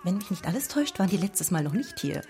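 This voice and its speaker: A woman's voice: medium pitch, sing-song, quick pace, darkish tone, faint music behind, natural voice. An actress playing a chatty, easily distracted society lady who rambles and searches for words in a radio drama. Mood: chatty, curious. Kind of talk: German radio drama acting.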